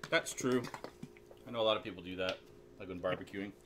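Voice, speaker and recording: A man's voice: low voice, brisk, a bit bright. A man co-hosting a geek chat, talking casually while busy with something. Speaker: co-host of a weekly geek chat show.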